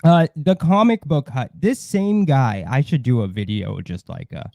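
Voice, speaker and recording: A man in casual livestream chat, blunt and disdainful, swearing heavily and haltingly circling back over his points. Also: medium pitch, animated, gravelly, dark tone, no echo. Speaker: co-host of a livestream.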